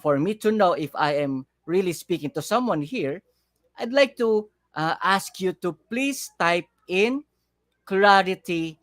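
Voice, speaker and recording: A man's voice: high voice, animated, measured pace, a bit bright, no room sound, clean studio sound. A man from the Philippines, a leadership coach, giving a live webinar talk in an upbeat way and speaking straight to the audience. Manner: upbeat, engaging, talks to the audience directly.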